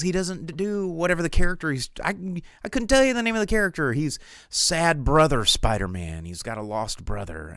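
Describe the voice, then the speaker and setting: A man's voice: mid-range voice, a bit bright, smooth, dry recording, clean studio sound. A man in his forties hosting a casual solo movie livestream, rambling and joking with a sarcastic streak. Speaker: movie review show host.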